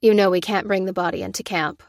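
A woman's voice: medium pitch, steady tone, fast, creaky, no echo, studio quality, lightly acted. A woman voicing a wary, pragmatic woman in an audiobook who objects in a strained, matter-of-fact way. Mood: wary, protesting.

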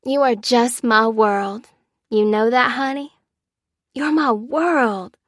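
A woman's voice: mid-range voice, a bit bright, dry recording, studio quality, lightly acted. Woman acting a gushing young mom. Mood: tender, doting. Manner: gushing, cooing, drawn-out words. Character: gushing, playful thirty-year-old Southern American mother.

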